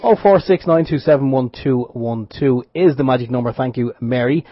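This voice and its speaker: A man's voice: medium pitch, normal speed, soft background music. A man co-hosting an internet radio show, reading out announcements in a casual, good-humoured way and correcting himself as he goes. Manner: self-correcting, good-humoured, running through details. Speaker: radio show co-host and producer. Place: radio studio. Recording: dull lo-fi sound, dry studio.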